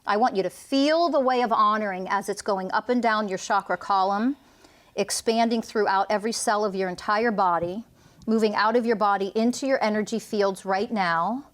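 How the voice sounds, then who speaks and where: A woman's voice: medium pitch, moderate pace. A woman energy healer guiding a client through a healing session, commanding and intense, with blunt swearing.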